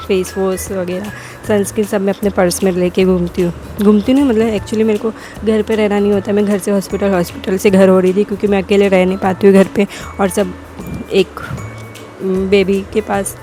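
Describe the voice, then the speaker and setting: Young woman, hoarse, rambling vlog voice-over, warm mellow tone, faint background music. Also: mid-range voice. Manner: rambling, self-correcting narration. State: sore throat, coughing. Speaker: vlogger.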